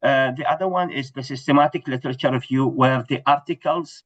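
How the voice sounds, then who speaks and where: A man's voice: medium pitch, brisk, dull audio. A middle-aged man from Iraq, giving a webinar lecture on research, hesitant with many fillers and restarts. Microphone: video call.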